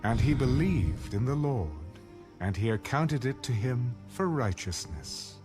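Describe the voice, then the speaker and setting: A man narrating a dramatized Bible reading in a measured, solemn storytelling manner. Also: mid-range voice, lively intonation. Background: music.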